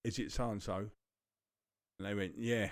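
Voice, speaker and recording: A man's voice: lowish voice, steady tone, unhurried, dry recording, clean studio sound. A man with a London accent, co-hosting a podcast and telling a long rambling anecdote with plenty of swearing. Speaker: podcast co-host. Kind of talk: casual storytelling chat.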